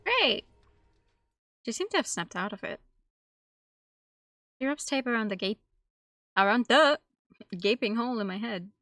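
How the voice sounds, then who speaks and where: A woman's voice: on the high side, big pitch swings, measured pace, long pauses, fairly bright tone, no echo. A woman streaming a game, reading its text aloud and adding casual, playful, musing commentary. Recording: light music behind.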